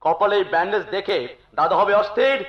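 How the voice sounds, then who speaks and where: An actor playing a devoted, earnest younger brother in Bengali folk theatre, speaking in a declamatory, emotional way. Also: male voice, sits high, fast talker, lightly acted. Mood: uneasy, concerned. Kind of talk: Bengali folk theatre (jatra) stage acting. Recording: echoey, dull stage recording with music.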